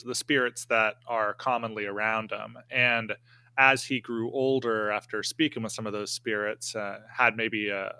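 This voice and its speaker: Bright-voiced man narrating his character's moves. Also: on the low side, clean tone. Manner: halting, thinking aloud. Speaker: tabletop game player. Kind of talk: casual improvised tabletop roleplay storytelling.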